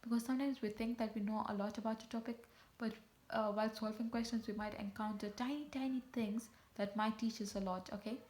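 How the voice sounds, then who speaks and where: A woman's voice: on the high side, steady tone, brisk, a little mellow. Chatty woman teaching math. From Tanzania. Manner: chatty, self-correcting, talks to viewers directly. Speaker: math tutor. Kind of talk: casual teaching video.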